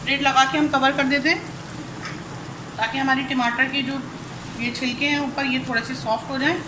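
A woman with a Pakistani Urdu accent, calmly talking through a cooking demonstration step by step in her home kitchen. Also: sits high, moderate pace. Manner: calm step-by-step explaining. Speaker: cooking channel host. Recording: echoey kitchen with sizzling noise.

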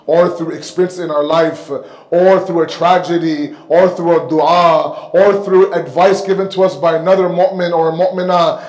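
Male preacher, high-pitched voice, emphatic sermon delivery, repeats for stress, roomy echo. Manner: emphatic, with repetition for stress and rhetorical questions. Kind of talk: sermon lecture. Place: indoor mosque hall, seated at the pulpit. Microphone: microphone on a stand close in front. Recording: echoey hall sound.

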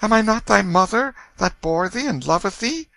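A man's voice: high voice, expressive, normal speed, gravelly, bright, forceful tone, no room sound, pitched above the performer's own voice, heavily acted. A man voicing a loving, anguished middle-aged mother in a storybook, pleading and lamenting in archaic English. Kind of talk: dramatic storybook reading.

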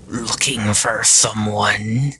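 A storyteller voicing a brutal, gloating giant male cyclops, husky, menacing and commanding. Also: mid-range voice, fairly even intonation, drawn-out words, bright, forceful tone, dry recording, exaggerated voice. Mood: taunting.

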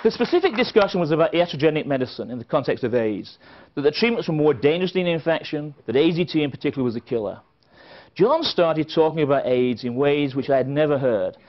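A middle-aged man from England, giving a keynote speech at a conference and telling stories with dry, wry asides. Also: a little high. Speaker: conference speaker. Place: conference hall with a seated audience. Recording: dull, lo-fi sound.

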